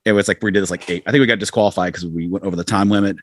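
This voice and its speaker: A man's voice: low voice, fairly even intonation, racing. An American man in his forties chatting casually as an interview guest, rambling and self-deprecating as he tells stories. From southern United States. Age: 40s. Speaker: podcast guest, rock musician.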